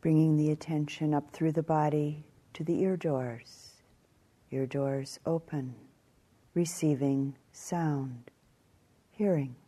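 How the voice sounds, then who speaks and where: A woman teaching guided meditation in a calm, measured way, leaving long pauses between short phrases. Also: low-pitched, breathy edge.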